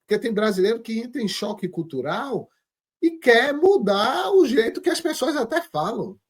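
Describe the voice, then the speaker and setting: A man, interviewing and talking in an animated, emphatic way that often stumbles and restarts. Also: very high voice, normal speed, breathy edge. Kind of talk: conversational interview.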